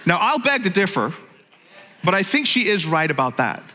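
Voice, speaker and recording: A middle-aged pastor from Northern Ireland gives a sermon, chatty and joking, with plenty of asides. His voice sits fairly high and has a bright, forceful edge. A long reverb trails behind him, as in a large church hall. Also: sing-song, normal speed, a little hiss or hum. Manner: jokey, conversational, self-interrupting.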